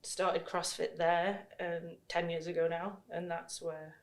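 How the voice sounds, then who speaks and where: A woman's voice: on the low side, flat delivery, a little slow. A woman from northeast England, chatting casually as a podcast guest. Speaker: podcast guest, CrossFit coach. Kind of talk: casual chat. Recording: echoey room.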